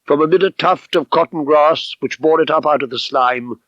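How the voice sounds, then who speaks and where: A man reading a mystery novel as an audiobook narrator, with measured, precise, dramatic literary delivery. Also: fairly high pitch, a bit bright, no room sound. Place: dry studio. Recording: slightly dull 1970s audiobook recording.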